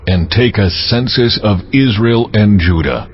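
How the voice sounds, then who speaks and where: An actor playing a stern, commanding God with grave deliberation in a dramatized scripture production. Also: male voice, fairly low pitch, unhurried, highs cut off, slight background noise, natural voice. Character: the Lord God, stern, commanding male deity.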